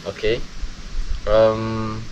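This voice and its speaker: A young Brazilian man in his twenties talks slowly to camera, with a Brazilian Portuguese accent and a halting way of speaking. Steady background hiss sits under his voice. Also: fairly low pitch, lo-fi sound. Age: 20s. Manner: halting, with many restarts and pauses. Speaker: vlogger. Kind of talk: casual talk to camera. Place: countryside home.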